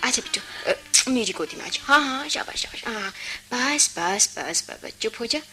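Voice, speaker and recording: A woman's voice: a little high, lively intonation, moderate pace, sharp tone. An actress playing an embittered, dutiful young working woman in a Hindi radio play, speaking with earnest, emotional delivery. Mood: soothing.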